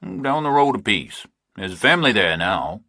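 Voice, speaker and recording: A man's voice: fairly low pitch, sing-song, moderate pace, sharp tone, no room sound, studio quality, heavily acted. A man voicing blunt, impatient farmers from England, speaking. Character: gruff rural English farmers, older men.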